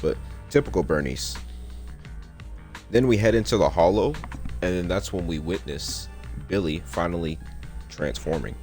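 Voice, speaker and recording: Young man, extremely deep voice, laid-back rambling commentary, slangy, reaction style, soft background music. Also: moderate pace, long pauses. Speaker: content creator. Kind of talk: casual story recap and reaction.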